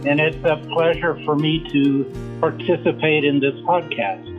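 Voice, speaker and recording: An older man from Memphis whose first language was French, a physician guest in a podcast interview, speaking in a measured, formal way with frequent pauses and fillers. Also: a little high, steady tone, over music. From Memphis, Tennessee. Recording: tinny phone line.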